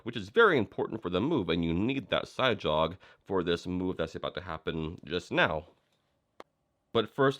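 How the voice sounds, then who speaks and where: A young man with a head cold explaining a card trick step by step in a relaxed, casual way, with frequent fillers and restarts. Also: low-pitched. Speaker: magic instructor.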